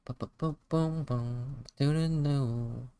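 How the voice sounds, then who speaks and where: A game streamer giving casual livestream commentary in a creaky voice, with hesitant, meandering asides. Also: medium pitch, slow pace, dark tone. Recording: game music behind the voice.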